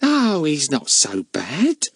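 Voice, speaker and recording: A man's voice: sits high, big pitch swings, moderate pace, dry recording, voice pushed up. A man voicing a cheerful, gossiping older charwoman with folksy, chatty speech. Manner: chatty, folksy, dropped grammar.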